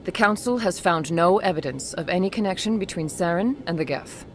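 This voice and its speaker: A woman playing a composed alien councilor in a video game, formal and measured. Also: lowish voice, a little hiss or hum, lightly acted. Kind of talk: video game cutscene acting. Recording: music behind game dialogue.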